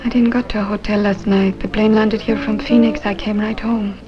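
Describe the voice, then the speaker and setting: A woman's voice: mid-range voice, flat delivery, a little fast, close to an everyday voice. An actress playing an earnest, plaintive married woman in a 1950s television drama. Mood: defensive, upset. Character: weary, wistful married woman denying then confessing a secret. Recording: dull 1950s soundtrack, loud music behind.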